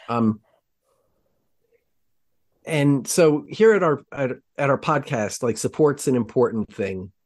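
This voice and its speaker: A man hosting a trail running podcast, talking casually in rambling, halting sentences full of ums. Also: mid-range voice, a little mellow. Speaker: podcast host. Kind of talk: casual chat.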